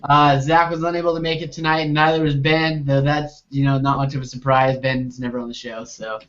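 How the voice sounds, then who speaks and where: A man's voice: mid-range voice, little pitch movement, a little fast, fairly bright tone, a little hiss or hum. A man in his twenties hosting a casual group recap chat over a video call. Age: 20s. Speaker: podcast host. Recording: muffled video call audio.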